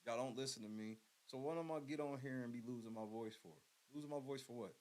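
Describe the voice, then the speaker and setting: A man hosting his own show, talking bluntly and profanely in a casual, swaggering way with loud outbursts. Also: mid-range voice, quick pace. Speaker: podcast host.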